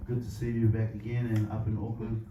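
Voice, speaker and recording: A man with a sore throat giving a rambling, relaxed thank-you speech as team organiser. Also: fairly low pitch, a little fast, muffled recording. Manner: rambling and relaxed with many fillers. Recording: distant echoey noisy room recording.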